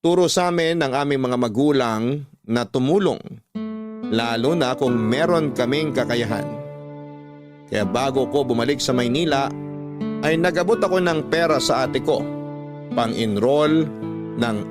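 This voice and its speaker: A man's voice: mid-range voice, unhurried, long pauses. A man with a Filipino accent reading a scripted first-person story as a radio storyteller. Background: soft music.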